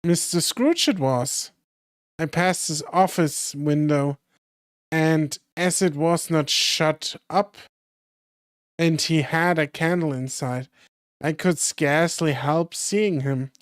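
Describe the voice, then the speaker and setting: A hoarse streamer plays a good-humored husband gently teasing his wife in a storybook reading. The voice is slow and dry, close to the mic. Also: medium pitch, no room sound, close to an everyday voice. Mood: playful. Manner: smiling, playful.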